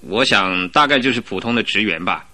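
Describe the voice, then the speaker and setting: An actor in a Mandarin radio drama playing a modest young accounts clerk, hesitant and easily astonished. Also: male voice, fairly low pitch, animated, fairly quick, bright, forceful tone, heavily acted. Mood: modest, curious. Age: young adult.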